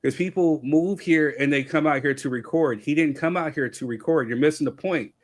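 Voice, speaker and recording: A man's voice: a little high, a little fast. A man from California on a podcast panel, debating hip hop in an emphatic casual way, often asking "you know what I'm saying".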